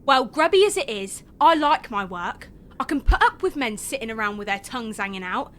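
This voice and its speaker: An actress playing a cheeky, defiant young Victorian tavern singer in a period drama, bold and teasing. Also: female voice, a little high, big pitch swings, fairly quick, a bit bright. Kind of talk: theatrical period drama acting.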